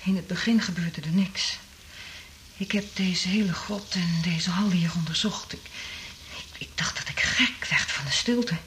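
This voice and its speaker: An actress in a radio drama playing a traumatised woman survivor, half-mad from isolation, speaking in an anguished, halting, dramatic way. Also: fairly low pitch, a bit bright, slight background noise. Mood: haunted recounting.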